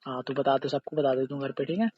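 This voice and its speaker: A man's voice: medium pitch, fairly even intonation, fast talker, slightly rough. A young man talking casually on a recorded phone call, defensive and dismissive. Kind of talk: casual phone argument with sister.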